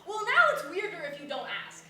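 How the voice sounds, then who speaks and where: A woman's voice: extremely high pitch, very animated, a little fast, sharp tone, echoey room, full character voice. Far off noisy recording, actress as blunt chatty self-deprecating woman in a play, talks casual. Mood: incredulous, prodding. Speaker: actor in a staged play reading. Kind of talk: naturalistic stage dialogue before a live audience.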